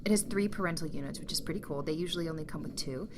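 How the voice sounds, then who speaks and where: A woman playing a gentle, tired young mother and caring wife in a naturalistic audio drama. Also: low voice, rapid-fire, noisy background, natural voice. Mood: relaxed, chatty.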